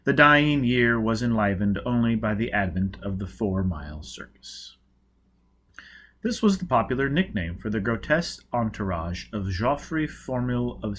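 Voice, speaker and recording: A man reading a novel aloud as an audiobook, with emphatic, deliberate dramatic narration. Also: lowish voice, a bit bright. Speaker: audiobook reader.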